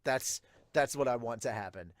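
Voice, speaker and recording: A man's voice: mid-range voice, moderate pace, no room sound, clean studio sound. A man hosting a podcast in casual review chat, emphatic and given to repeating himself. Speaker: podcast host and manga dubber.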